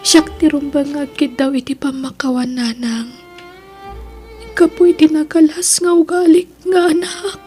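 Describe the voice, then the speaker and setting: A woman's voice: high voice, steady tone, measured pace, warm tone, faint music behind. A woman playing a sorrowful, forgiving mother in a tearful, heartfelt Ilocano radio drama. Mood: tearful, pleading.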